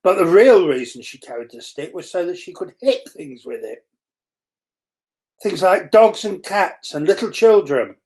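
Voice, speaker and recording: A woman reading a children's storybook aloud in an expressive narrating style. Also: fairly low pitch, a little slow. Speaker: school staff member reading aloud.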